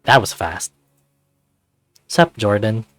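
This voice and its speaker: A man voicing a playful teenage hero with wry, deadpan teasing. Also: on the low side, takes it slowly, no echo, heavily acted.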